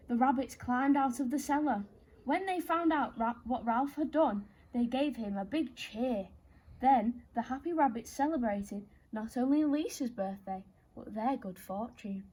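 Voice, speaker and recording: A woman's voice: on the high side, dark tone, slightly rough, rough recording. A woman reading a story aloud to children in a playful, theatrical way, speaking directly to her young listeners.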